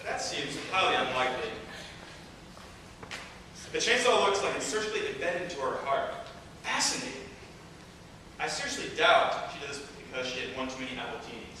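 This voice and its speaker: A man's voice: medium pitch, big pitch swings, fairly quick, pauses often, sharp tone, distant mic. A man playing a quirky, vain surgeon in a theatrical way in an amateur comic stage play. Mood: fascinated. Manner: theatrical, exclamatory. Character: quirky, vain budget surgeon, grandly bemused. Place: indoor room, live performance. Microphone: distant camera. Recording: echoey hall from afar, noisy.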